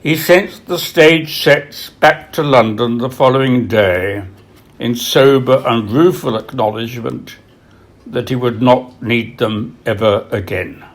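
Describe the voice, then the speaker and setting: A man reading literary biography aloud as its author, in a solemn, measured way with dramatic pauses. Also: medium pitch. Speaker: author reading from own book.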